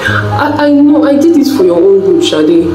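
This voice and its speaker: A woman plays a proud, glamorous bride-to-be in a folktale. She is dramatic and self-justifying, high in pitch, with roomy echo and music behind. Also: expressive, normal speed, natural voice. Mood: defensive.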